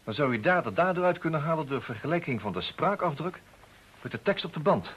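An actor playing a brisk, persistent male private detective in a radio drama, conversational with small hesitations and hums. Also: a little high, animated, a bit bright. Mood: earnest, pressing. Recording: muffled, noisy old radio drama recording.

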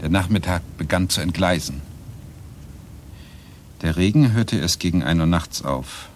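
A man's voice: sits low, unhurried, a little hiss or hum. An actor playing an earnest man in a German radio play, sometimes reflective, sometimes hesitant.

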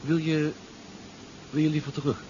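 A man's voice: fairly high pitch, level tone, normal speed, warm tone, natural voice. An actor playing a confident, proud inventor piloting his own submarine in a 1970s radio drama, clipped and matter-of-fact. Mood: calm, dry. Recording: dull, noisy 1970s radio recording.

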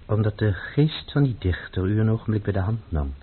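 Grave, husky poet, phone-like sound. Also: male voice, fairly low pitch, animated. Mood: grave, earnest. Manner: measured, solemn, formal. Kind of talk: radio play acting. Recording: muffled, phone-like old radio recording.

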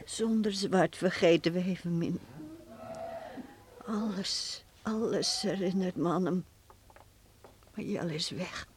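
An actress playing an anxious, devoted wife in mourning, sighing and hesitant, in a Dutch radio play. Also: female voice, fairly low pitch, takes it slowly, long pauses. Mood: distressed pleading. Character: worried, grieving, devoted wife and mother. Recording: noisy old radio play recording.

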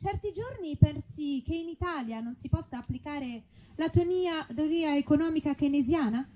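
A woman reads a parody advert live as comedy. Her voice is high yet mellow and dark, and she delivers it like a string of rhetorical slogans. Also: expressive, normal speed, rough recording. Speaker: comedy performer, mock advert announcer.

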